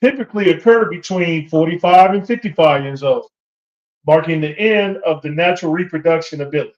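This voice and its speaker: A man's voice: on the high side, brisk, darkish tone. A man chatting and debating casually as a talk show panelist, often hesitating and repeating himself. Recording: echoey room, dull lo-fi sound.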